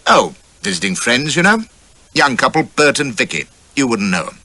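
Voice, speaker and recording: A man's voice: medium pitch, big pitch swings, moderate pace, bright, forceful tone, no room sound, voice pushed up. A man voicing a swaggering, roguish Victorian English army officer, breezy and boastful.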